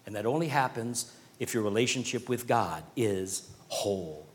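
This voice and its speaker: A man preaching a sermon, swelling to emphatic points and dropping to quiet, earnest asides. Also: lowish voice, lively intonation, normal speed, long reverb tail. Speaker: pastor.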